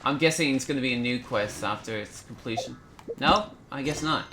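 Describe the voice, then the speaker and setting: A man's voice: medium pitch, brisk, sharp tone, a little hiss or hum. A man hosting a gaming channel, giving casual let's play commentary on what he is doing. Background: game music.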